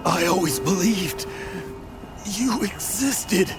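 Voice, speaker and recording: An actor playing an earnest, humbled man in a video game, choked up and halting with emotion. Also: high-pitched, expressive, loud music behind the voice. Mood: awestruck, shaken. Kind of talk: video game character acting.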